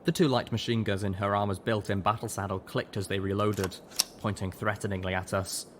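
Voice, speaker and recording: Male narrator, low pitch, scripted audiobook storytelling, faint background noise. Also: flat delivery, brisk. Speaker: audiobook reader, show narrator.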